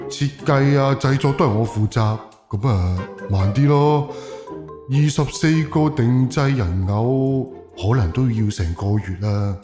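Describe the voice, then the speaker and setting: A man playing a casual young puppet workshop owner in a Cantonese audio drama, hesitant and halting in his speech. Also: medium pitch, lightly acted. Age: young adult. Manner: hesitant, halting, with stammers and interjections. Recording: echoey room under loud music.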